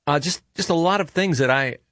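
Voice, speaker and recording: A man's voice: fairly high pitch, fairly quick, fairly bright tone, no echo, studio quality. A man hosting a talk radio show, speaking in a conversational, opinionated monologue with frequent fillers and self-corrections. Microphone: studio mic.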